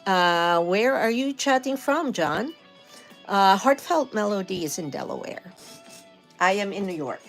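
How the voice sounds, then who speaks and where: Chatty Filipina woman with a gravelly edge. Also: mid-range voice, expressive, a little slow, soft background music. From Philippines. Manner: friendly, chatty, laughs often. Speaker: livestream host. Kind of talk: casual live stream chat, greeting viewers. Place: home in New York.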